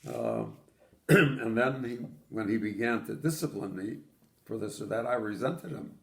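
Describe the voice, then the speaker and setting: Gravelly older man recalling childhood. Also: fairly low pitch, big pitch swings, measured pace. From Poland. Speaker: interviewee. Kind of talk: oral history interview.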